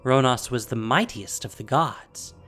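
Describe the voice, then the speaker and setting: A man's voice: medium pitch, measured pace, fairly bright tone, faint music behind. A man reading scripted dramatic fantasy narration as the storyteller of an audio drama.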